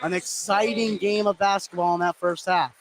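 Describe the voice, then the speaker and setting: A man's voice: high-pitched, fairly even intonation, moderate pace, a crowd in the background, poor audio. An American man doing live play-by-play commentary on a basketball game. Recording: clear gym noise behind voice. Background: sports venue ambience.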